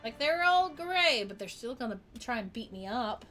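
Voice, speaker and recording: A woman streaming a game, chatting in a relaxed way to her viewers and to the monsters she fights. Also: on the high side, normal speed, faint music behind. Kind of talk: casual game stream chat.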